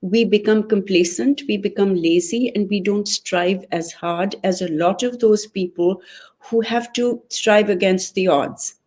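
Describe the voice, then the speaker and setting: A woman from Pakistan speaking as an interview guest, articulate and measured as she lays out her views. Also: medium pitch, a little mellow. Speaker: guest, political club member. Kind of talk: conversational interview.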